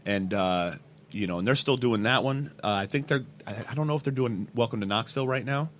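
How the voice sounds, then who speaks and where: A man's voice: mid-range voice, a little fast, a bit bright. A man in his thirties chatting casually as an interview guest, slangy and sweary, telling stories with repeated words into a close mic. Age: 30s. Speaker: hip-hop artist and event promoter, interview guest. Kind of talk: casual podcast interview chat. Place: small indoor room. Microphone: studio mic with pop filter, close. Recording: clear background room noise.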